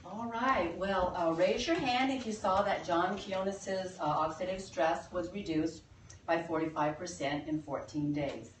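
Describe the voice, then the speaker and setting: An older woman speaks earnestly to a small meeting, her lowish voice stopping often for ums and uhs. She sounds far from the mic in an echoey room with a faint hum of ambience behind her. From Philippines. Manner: halting, many fillers, earnest. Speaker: presenter at a sales meeting. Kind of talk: informal presentation.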